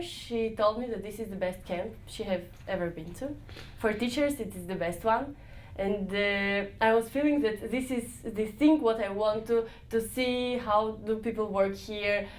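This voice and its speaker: A young woman answering interview questions, searching for words with frequent pauses and restarts. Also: mid-range voice. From Israel. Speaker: interviewee, volunteer dance leader.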